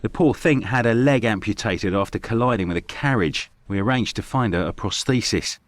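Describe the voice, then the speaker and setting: Measured Victorian doctor, acted by a man, closely recorded. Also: lowish voice, no room sound, lightly acted. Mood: matter-of-fact. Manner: matter-of-fact, formal. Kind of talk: video game voice acting.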